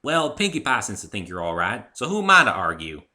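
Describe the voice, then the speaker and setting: A man's voice: lowish voice, very animated, brisk, sharp tone, exaggerated voice. A man voicing a friendly young female farm pony with a folksy US Southern drawl in a story reading. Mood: kindly. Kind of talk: dramatic fan fiction reading.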